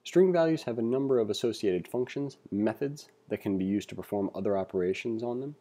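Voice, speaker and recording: A man giving a read-along tutorial as an instructor, reading aloud and adding informal asides as he thinks out loud. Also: on the low side, expressive, fairly quick. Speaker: programming instructor. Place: indoors, screen-recording voice-over.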